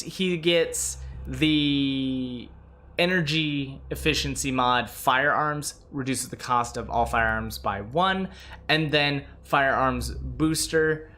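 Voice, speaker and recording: A young man covering a game update in a casual way, hoarse and out of breath, with frequent filler sounds and restarts. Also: medium pitch, pushed and bright. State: losing his voice, out of breath. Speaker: gaming video host.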